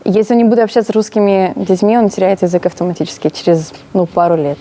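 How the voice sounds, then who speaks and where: Fast-talking woman, firm and matter-of-fact. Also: medium pitch, steady tone, warm tone, a little hiss or hum. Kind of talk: documentary interview.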